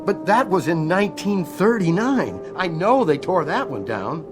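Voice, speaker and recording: A man's voice: high-pitched, very animated, a little slow, music in the background. An actor playing an anxious, alarmed airline crewman in a radio drama, agitated in manner. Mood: dawning alarm.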